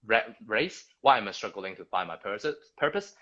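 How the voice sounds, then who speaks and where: A man from Hong Kong speaking English with a Hong Kong accent, a Cantonese native speaker, telling his story as a guest in a halting way with many restarts and tag questions. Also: fairly low pitch, animated, sharp tone, highs cut off. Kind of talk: conversational storytelling.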